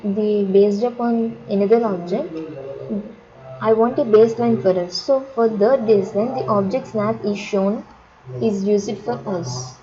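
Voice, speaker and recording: A woman instructor walks through software steps at a slow pace. She speaks haltingly, restarting and repeating phrases. The sound is dull and lo-fi, with plenty of chatter from other people in the background. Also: mid-range voice. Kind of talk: step-by-step screen tutorial on drafting software.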